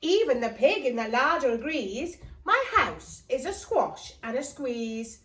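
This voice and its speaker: A woman's voice: high-pitched, very animated, a little slow, a bit bright, voice pushed down, heavily acted. A woman voicing a grumbling, dramatic little old lady in a children's storybook, exclaiming and pleading theatrically. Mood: exasperated distress. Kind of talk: children's storybook character reading. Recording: roomy, echoey indoor sound.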